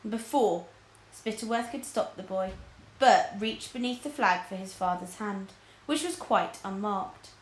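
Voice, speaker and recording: Woman reading a kids story out loud, expressive, echoey room. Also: mid-range voice, unhurried. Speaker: storyteller reading a children's book aloud. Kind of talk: expressive scripted story narration. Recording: roomy, echoing indoor sound.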